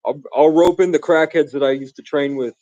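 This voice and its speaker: A man's voice: a little high, steady tone, a little fast, dark tone, dry recording, lo-fi sound. A man from the Bronx, raised in Vermont, rambling grandly and casually to his viewers on a live stream. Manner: grandiose, meandering, casual slang. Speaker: livestreamer.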